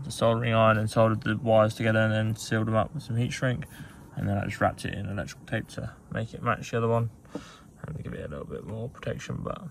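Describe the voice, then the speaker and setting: A young man casually narrating electrical work on his small sailboat outdoors, relaxed and rambling with ums and self-corrections, recorded on an action camera. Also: fairly low pitch, vocal fry, a little hiss or hum. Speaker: sailing vlogger. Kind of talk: casual how-to narration while working.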